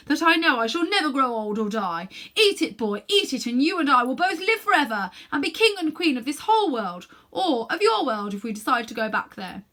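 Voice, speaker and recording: Woman as a proud, forceful storybook witch queen. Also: a little high, heavily acted. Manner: imperious, emphatic, coaxing. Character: proud, commanding, tempting evil witch queen. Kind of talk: children's storybook character reading.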